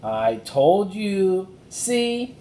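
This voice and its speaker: Man voicing an eager, high-pitched little girl. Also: big pitch swings, very slow, dark tone, echoey room, slight background noise, heavily acted. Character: eager, excitable young girl adventurer.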